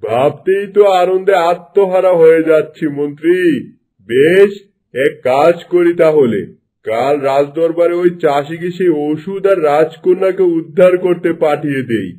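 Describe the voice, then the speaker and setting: A vain, scheming cartoon king, acted by a man, with a fairly high, soft-edged voice. He speaks in an imperious way, as one used to giving orders. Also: a little slow. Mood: delighted, scheming. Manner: imperious, commanding. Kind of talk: Bengali cartoon dubbing.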